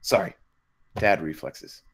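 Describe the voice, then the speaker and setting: A man's voice: takes it slowly, natural voice. A man at a script table read playing a harried, brash buddy who is a father of many. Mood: exasperated.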